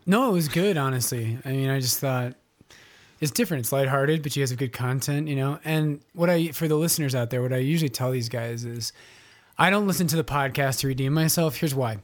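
A man's voice: medium pitch, a little fast. A man in his thirties, a priest guest on a podcast, chatting good-humoredly and telling stories. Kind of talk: casual chat.

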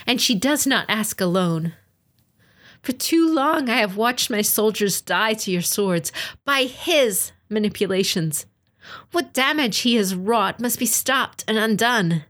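Woman acting impassioned young female samurai, raised pitch. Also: fairly bright tone. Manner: impassioned, declamatory, formal. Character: passionate young female samurai general, defiant daughter pleading in court. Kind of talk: dramatic audiobook reading.

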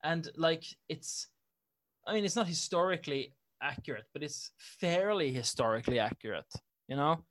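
A man's voice: a little high, lively intonation, moderate pace, fairly bright tone. Rambling, laid-back man chatting. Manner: rambling, hedging with fillers, laughs easily. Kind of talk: casual chat.

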